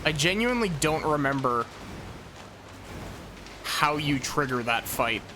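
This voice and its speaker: A man casually commenting on his gameplay stream, thinking aloud, his voice slightly hoarse. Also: fairly high pitch, measured pace, background noise. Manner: relaxed, chatty, thinking aloud.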